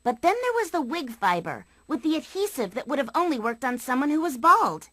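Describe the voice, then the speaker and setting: Brainy young cartoon detective, highish animated voice, precise, dry close sound. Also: female voice, brisk, dry recording. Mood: explaining. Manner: precise, know-it-all. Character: smart, matter-of-fact young female mystery sleuth. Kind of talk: cartoon mystery game acting.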